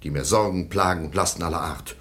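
A man's voice: low-pitched, fast, a bit bright. An actor playing an aggrieved, self-righteous baron in a German radio drama, pompous and stiffly formal in his indignation. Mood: indignant.

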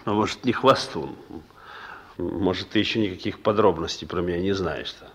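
A man's voice: sits low, normal speed, a bit bright, husky, exaggerated voice. An actor playing a reformed young crook and proud new father in a Soviet radio drama, talking in a colloquial, boastful, earnest way. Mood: tender, boastful. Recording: noisy old Soviet radio recording.

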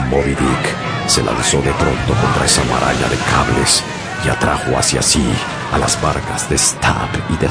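Young sailor, narrating, grave, very deep, gravelly voice. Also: male voice, full character voice. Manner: grave, measured storytelling. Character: young male sailor recalling a shipwreck. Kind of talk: dramatic audiobook narration. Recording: loud music bed under narration.

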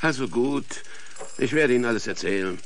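An actor playing a haughty thief who protests pompously when cornered, in a 1970s children's radio play. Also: male voice, medium pitch, expressive, fairly quick, lightly acted. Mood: defensive. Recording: slightly noisy 1970s vinyl recording.

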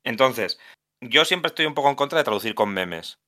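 Bright-voiced translator Asturias. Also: male voice, medium pitch, lively intonation, moderate pace. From Asturias, Spain. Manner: thoughtful, many restarts and self-corrections. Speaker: video game translator, guest. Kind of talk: casual podcast conversation.

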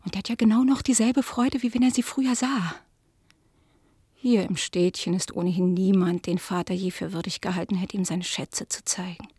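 An actress playing an ashamed, pleading middle-aged daughter in a radio drama, confessing in a hushed voice. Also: female voice, medium pitch, fairly even intonation, moderate pace, slightly rough, dry recording, clean studio sound, close to an everyday voice. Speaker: the collector's daughter.

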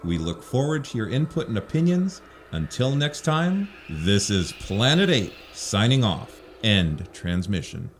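Male announcer, low voice, brisk scripted outro. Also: very animated, a little hiss or hum.

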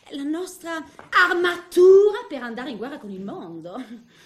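Vain flirty middle-aged lady, unhurried n affected, bits of french, comic stage monologue, roomy echo. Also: high voice, sing-song. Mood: haughty. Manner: affected, theatrical, dotted with French interjections. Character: vain, coquettish middle-aged woman hiding her age. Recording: roomy, echoing stage sound.

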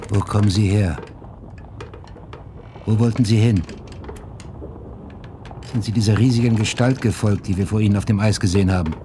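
A man's voice: on the low side, fairly even intonation, normal speed, long pauses, lightly acted. A man acting a blunt, wary, insistent ship's officer in a radio play. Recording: clear noise, ambience behind.

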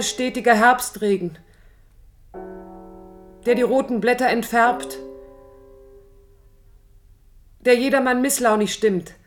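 A woman's voice: on the high side, lightly acted. An actress playing a lonely, pensive young woman who speaks intimately and wistfully to her absent brother in a radio drama. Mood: yearning, sad. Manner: introspective, wistful, lyrical.

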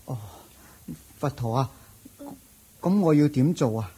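An actor playing a timid, confused young master of a wealthy family in a Cantonese radio drama, speaking in a pleading way. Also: male voice, medium pitch, animated, slow, dark tone, slightly rough, exaggerated voice, low-quality recording.

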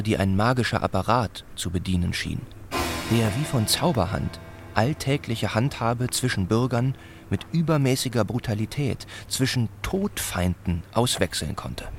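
A man narrating a literary radio play about village life in a sombre, measured, deliberate way. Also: on the low side, creaky. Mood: grave.

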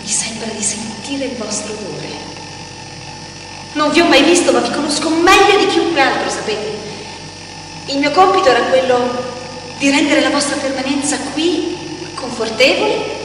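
In a big reverberant hall, far from the mic, an actress plays an intense, volatile caretaker woman. Her high voice delivers a theatrical radio-play monologue in emphatic fragments. Clear noise runs through the recording. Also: expressive, moderate pace, pauses often. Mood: tender, yearning. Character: embittered, devoted female caretaker, intense and volatile. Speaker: stage actor in a radio play.

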